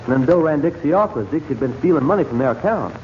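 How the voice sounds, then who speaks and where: Fast-talking anxious ranch hand, played by a man. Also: fairly high pitch, expressive, natural voice. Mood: anxious, hurried. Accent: American. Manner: earnest, hurried. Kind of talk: 1940s radio western acting. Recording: muffled old radio recording, faint noise.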